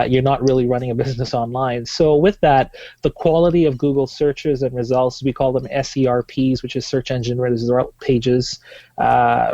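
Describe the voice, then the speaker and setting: A man in his thirties, originally from Tanzania, talks through his answers on a podcast. His voice is mellow and warm, and it sits close to a dry, slightly dull mic. He keeps talking fluently with plenty of "uh"s and restarts. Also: medium pitch, no echo. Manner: fluent, with frequent "uh" fillers and restarts. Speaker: guest, marketing specialist.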